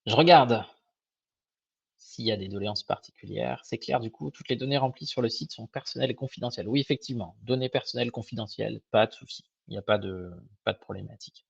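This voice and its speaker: A young man with a slightly hoarse, husky voice walks viewers through a webinar in a friendly, informal way. He hesitates often and restarts his phrases. The sound is dull and lo-fi, like a web call, and very dry. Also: medium pitch, fast, dry recording. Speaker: microfinance advisor presenting a webinar. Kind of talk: casual live webinar presentation.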